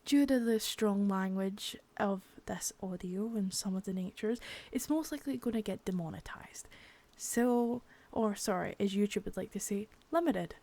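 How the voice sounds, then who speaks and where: A woman's voice: medium pitch, normal speed, hardly any pauses, dark tone. A woman who makes ASMR videos, talking in a friendly, chatty way as she opens a video, sometimes dropping to a whisper. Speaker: ASMR creator.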